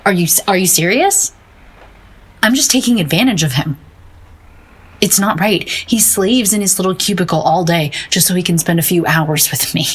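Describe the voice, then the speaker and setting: An actress playing a self-doubting, melancholy woman painter in an audio drama, with a slightly husky voice. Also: on the low side, normal speed. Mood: exasperated, guilty.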